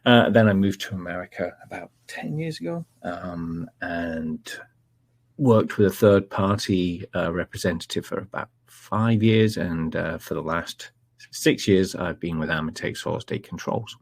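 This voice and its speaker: A middle-aged Scottish man presenting a live technical webinar in a relaxed, chatty way, with frequent ums and uhs. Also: sits low, unhurried, vocal fry, no echo. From Scotland.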